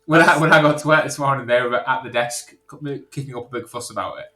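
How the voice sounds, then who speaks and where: A man's voice: medium pitch, quick pace, sharp tone. A man chatting informally as a podcast guest, telling stories in a sweary, casual way. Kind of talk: casual anecdote-telling chat.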